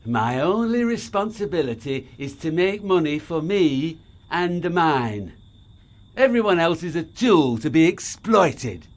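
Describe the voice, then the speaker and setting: A man's voice: a little high, big pitch swings, unhurried. A man playing a plain-spoken, bitter working-class townsman in an audio drama, blunt and folksy. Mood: haughty quote. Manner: blunt, folksy, says aye.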